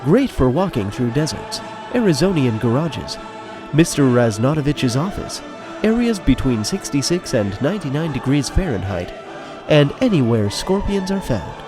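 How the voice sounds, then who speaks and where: A man's voice: medium pitch, very animated, moderate pace, over music. A man giving wry, dramatic scripted narration with storytelling asides to the listener.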